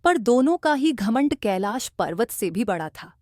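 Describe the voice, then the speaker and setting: A woman's voice: on the high side, sing-song, measured pace, darkish tone, dry recording, clean studio sound. A woman narrating a scripted cartoon bedtime story as a storyteller.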